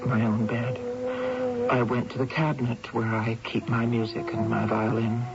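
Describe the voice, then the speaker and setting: An actress playing a shaken, bewildered estranged wife in a radio drama, with a hesitant, dramatic delivery. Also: female voice, extremely low pitch, flat delivery, moderate pace, loud music behind the voice. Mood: haunted recollection. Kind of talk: radio drama acting and narration. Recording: dull lo-fi radio broadcast.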